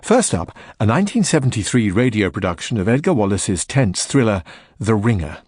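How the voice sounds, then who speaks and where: Lively, husky low-voiced male announcer. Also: brisk, no echo, studio quality. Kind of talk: scripted audiobook introduction. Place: dry studio.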